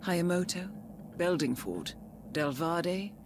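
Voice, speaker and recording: A low, very expressive female voice for a shrewd intelligence handler in a game cutscene. She is measured and authoritative, directing an operation with composure. There is clear noise behind her. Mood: intent, analytical. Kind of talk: dramatic game cutscene acting.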